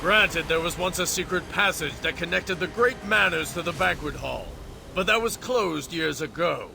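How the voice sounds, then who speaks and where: An actor playing a stern, suspicious imperial military officer in a video game, with formal, imperious, theatrical delivery. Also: male voice, a little high, expressive, normal speed, creaky, music in the background. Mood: haughty, demanding.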